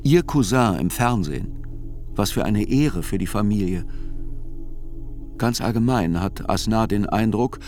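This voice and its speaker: A man narrates a radio play from a literary script. His voice is on the low side. Soft music sits underneath. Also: animated, unhurried, pauses often.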